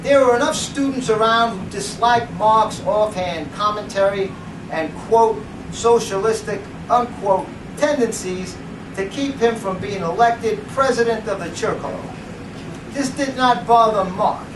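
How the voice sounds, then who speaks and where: A middle-aged man giving a dramatic, deliberate reading to a live audience, in a theatrical, declamatory way. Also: sits high. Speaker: presenter reading at a book event. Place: indoor library event room. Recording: roomy, noisy, distant camera microphone.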